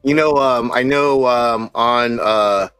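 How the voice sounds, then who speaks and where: A man hosting a casual live stream talks in a friendly, loose way at an everyday pitch. The sound is dry and close-miked, with faint music behind him. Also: slow, fairly bright tone, clear, resonant tone, no echo. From San Diego area. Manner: friendly, loose, chatty with fillers.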